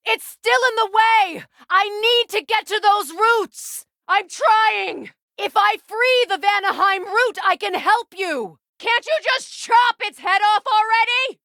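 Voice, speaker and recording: A woman's voice: very high-pitched, dry recording, clean studio sound, full character voice. An actress playing a stern, proud warrior goddess, a battle-hardened mother with a commanding, curt manner, in a fantasy video game. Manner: commanding, curt, sharp-tongued. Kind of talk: dramatic fantasy game dialogue.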